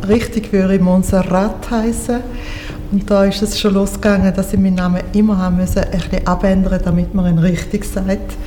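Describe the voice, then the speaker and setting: A middle-aged woman from Barcelona speaks in a mellow, dark voice, rambling along and correcting herself. The room is echoey and the reverb hangs long, with a faint hiss underneath. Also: medium pitch, fairly quick. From Barcelona, Spain. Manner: lively, rambling, self-correcting with laughs. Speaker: guest, victim support counselor. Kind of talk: casual panel introduction. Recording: echoey hall with faint noise.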